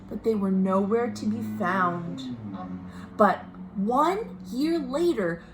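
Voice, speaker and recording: A woman reading a picture book aloud to children as a storytime host, expressive, pausing to speak to her young listeners. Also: a little high. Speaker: children's librarian hosting virtual storytime. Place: at home. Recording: noisy home recording.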